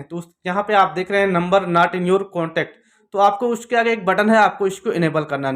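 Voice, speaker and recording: A man narrating a step-by-step phone tutorial in a repetitive, instructional way. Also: high-pitched. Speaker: tech tutorial presenter.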